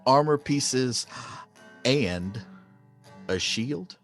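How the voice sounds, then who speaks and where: A man with a Southern US accent playing a curious, slow-witted country customer in a comic advertisement. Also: mid-range voice, expressive, faint music behind. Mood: puzzled.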